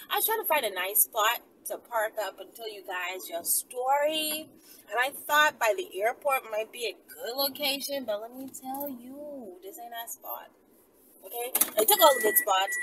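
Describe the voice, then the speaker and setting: A woman's voice: fairly high pitch, background noise. A woman talking casually to her viewers as she tells and reads stories, brash and teasing, swearing freely and tossing in asides. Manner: brash, profane, teasing, with frequent tag questions and asides. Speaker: vlogger telling and reading stories to viewers. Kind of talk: casual direct-to-viewer chat and storytime.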